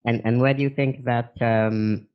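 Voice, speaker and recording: A man's voice: mid-range voice, steady tone, measured pace, smooth, muffled recording. A man hosting an interview podcast, easygoing, often repeating words as he starts a sentence. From Africa. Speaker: podcast host. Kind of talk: casual interview chat.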